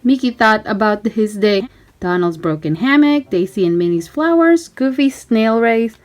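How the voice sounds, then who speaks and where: Female storybook reader for young children: high voice, very expressive, swooping delivery, emphatic storytelling. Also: normal speed. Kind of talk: expressive children's read-aloud narration.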